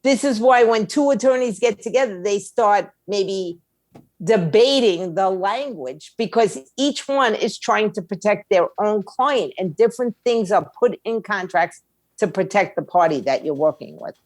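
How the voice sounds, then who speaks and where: A woman's voice: mid-range voice, animated, measured pace, darkish tone, no room sound. A woman speaking as a guest expert in an interview, explaining things in a plain-spoken, emphatic, conversational way. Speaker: guest, music attorney and indie label owner.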